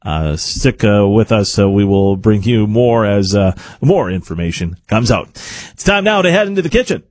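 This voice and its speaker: A man's voice: on the low side, big pitch swings, normal speed. A man presenting live talk radio and news updates as a show host.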